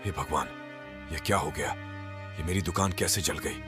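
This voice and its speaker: A man's voice: a bass voice, moderate pace, music in the background. A man playing a humble, worried street food vendor and family man in a moral-story cartoon, speaking earnestly. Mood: despairing.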